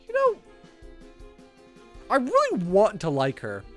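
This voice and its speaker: A woman's voice: mid-range voice, wildly swooping pitch, slow pace, soft background music. A woman streaming a visual novel, reading it aloud and chattily commenting on the story. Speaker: game streamer.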